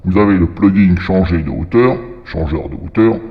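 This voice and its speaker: Deep, heavily reverberant man explaining software. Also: animated, moderate pace, highs cut off. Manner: rambling, thinking aloud. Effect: demon voice changer: lowered pitch, reverb. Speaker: software tutorial host. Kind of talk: informal screen-recorded software tutorial. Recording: long echoing reverb from effect.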